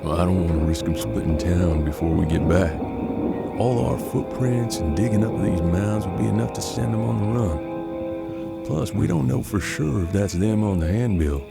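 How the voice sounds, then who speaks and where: A man's voice: sits low, expressive, normal speed, creaky, over loud music. An American man playing a seasoned, unflappable bounty hunter in a western audio drama, with a folksy, laconic drawl. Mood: calm, calculating. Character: seasoned, unflappable Old West bounty hunter mentoring his apprentice.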